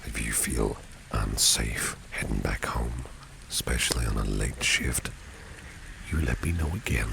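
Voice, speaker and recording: Man acting as very deep, gravelly, flirtatious boss. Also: heavily acted. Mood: protective, gentle. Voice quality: gravelly, breathy near-whisper. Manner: slow, suave, soft-spoken. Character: protective, flirtatious male boss, smooth and courtly. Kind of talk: ASMR roleplay. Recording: steady rain noise behind the voice. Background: rain and street ambience.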